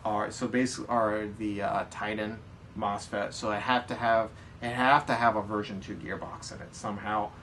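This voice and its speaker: A man with an American accent and a fairly low voice talks casually as a podcast co-host. He explains things in a loose, rambling way. He sounds away from the microphone, with some faint noise. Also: fairly even intonation, normal speed, a bit bright. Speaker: podcast co-host answering listener questions. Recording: distant mic, background noise.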